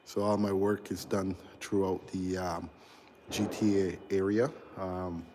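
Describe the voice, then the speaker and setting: A man in his forties with a low, level voice that barely rises or falls. He chats haltingly with viewers on a phone stream while touring an unfinished house. A faint hum hangs in the background. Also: flat delivery, mellow. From Barbados. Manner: halting, chatty, reads out and answers viewers' comments. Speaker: electrical contractor. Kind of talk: casual live-stream walkthrough. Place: large unfinished house under construction, indoors.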